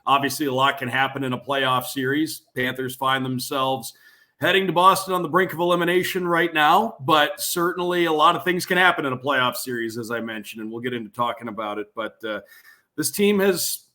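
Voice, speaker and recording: A man with a bright, forceful voice at a middling pitch talks sports as a podcast guest. He sounds like a radio play-by-play announcer giving conversational analysis. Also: fairly quick.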